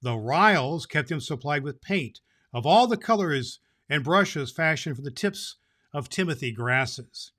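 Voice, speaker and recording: A man performs a jolly old Santa Claus figure telling storybook tales for children. His voice sits somewhat high for a man. He is animated and merry, speaking straight to young listeners as their storyteller and host. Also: unhurried. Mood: storytelling. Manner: jolly, animated, booming ho-ho laughs. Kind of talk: storybook reading and address to children.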